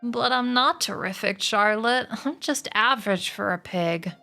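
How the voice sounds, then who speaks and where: A young storybook pig, played by a woman. He is bashful and modest, speaking earnestly in a higher pitch. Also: unhurried, pushed and bright, faint music behind. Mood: bashful, protesting. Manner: humble, earnest.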